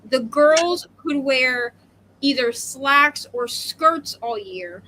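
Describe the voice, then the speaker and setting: A woman's voice: high-pitched, lively intonation, very slow, pushed and bright, slight background noise, low-quality recording. A woman in her thirties co-hosting a casual book discussion, talking in a rambling, opinionated way with emphatic asides. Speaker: co-host of a book readalong live show.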